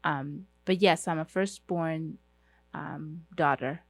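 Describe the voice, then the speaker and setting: Young woman hosting her own podcast, lowish voice, kinda hesitant with lots of ums, dry recording. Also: steady tone, slow pace, dry recording. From Uganda. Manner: earnest, hesitant, many fillers and restarts. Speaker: podcast host. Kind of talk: solo podcast monologue.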